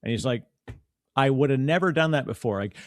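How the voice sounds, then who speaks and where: A Canadian man hosting a podcast, chatting in a lively, storytelling way and laughing easily. His voice sits at an everyday middle pitch and sounds close and dry, with no room around it. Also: a little fast, no room sound. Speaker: podcast host. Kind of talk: casual conversational podcast chat.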